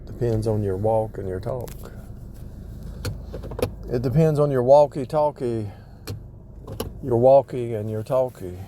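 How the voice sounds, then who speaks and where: A man's voice: mid-range voice, measured pace, pauses often, dark tone, slight background noise. A man giving casual, off-the-cuff spiritual teaching in a rambling way, repeating and rephrasing himself for emphasis. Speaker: religious vlogger.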